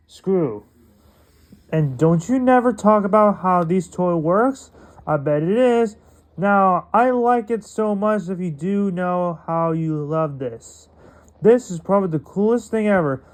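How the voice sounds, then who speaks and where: A male vlogger unboxing a toy, rambling and excitable, talking to himself as he goes. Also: high-pitched. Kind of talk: casual unboxing commentary.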